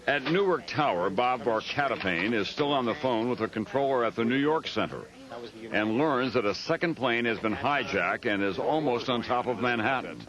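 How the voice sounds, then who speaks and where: A man's voice: mid-range voice, animated, normal speed, a crowd in the background. A man with an American accent reading scripted news documentary narration.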